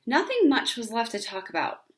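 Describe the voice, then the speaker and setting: A woman reading a children's book aloud as an expressive storyteller. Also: on the high side, normal speed.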